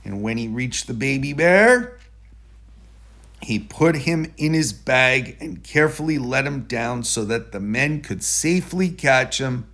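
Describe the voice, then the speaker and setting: A man reading a children's story aloud in an expressive storytelling style. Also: medium pitch. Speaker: storybook reader.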